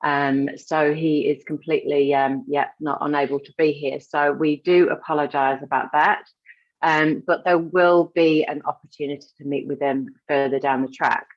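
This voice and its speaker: A woman's voice: low voice, steady tone, normal speed, lo-fi sound. A woman from the UK hosting a live webinar, chatty and full of fillers. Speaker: webinar host.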